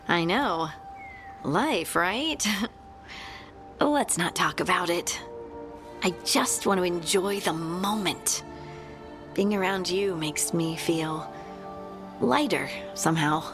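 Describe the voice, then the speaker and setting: An actress playing an eager, easily flustered young woman adventurer in a video game, earnest and excitable, halting when shy. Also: lowish voice. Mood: happy. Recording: game music behind the voice. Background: game soundtrack music.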